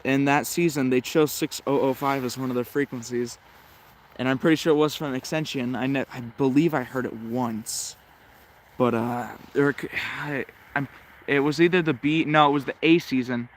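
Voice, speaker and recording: A man's voice: mid-range voice, steady tone, normal speed, a little hiss or hum. A man giving casual, off-the-cuff commentary as a radio hobbyist, hesitant and rambling, often correcting himself. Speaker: shortwave radio hobbyist narrating a tuning session.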